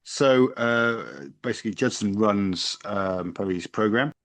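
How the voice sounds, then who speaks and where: A man hosting a podcast, giving a casual, halting recap from his notes. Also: on the low side, fairly bright tone, no room sound. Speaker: podcast host.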